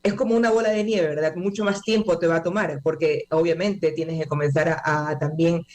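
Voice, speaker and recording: A woman, a language academy manager, giving measured interview answers with frequent hesitant fillers. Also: sits low, steady tone, a little mellow.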